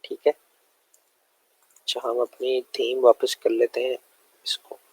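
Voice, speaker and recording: A man's voice: medium pitch, monotone, slow, husky, no room sound, low-quality recording. A man with a sore throat, giving a loose, conversational screen-share lesson full of fillers. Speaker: web development tutor.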